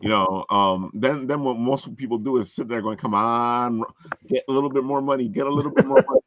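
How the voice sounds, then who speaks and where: A man chatting casually as a podcast host, earnest and halting, often repeating his phrases. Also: mid-range voice, dull audio, a little hiss or hum. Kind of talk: casual group chat.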